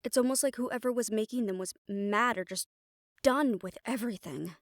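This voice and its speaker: A woman's voice: fairly high pitch, moderate pace, no room sound, studio quality, close to an everyday voice. A woman playing a stern, exasperated young siren banshee spellcaster in a roleplay audio drama, talking conversationally and emphatically. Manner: conversational, sighing, chiding, emphatic.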